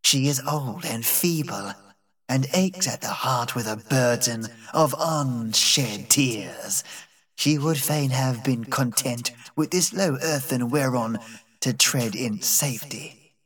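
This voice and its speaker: Audiobook: male reader doing a bitter old servant woman, low and harsh, slow and brooding. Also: fairly bright tone, breathy edge, far from the mic. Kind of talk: audiobook character reading.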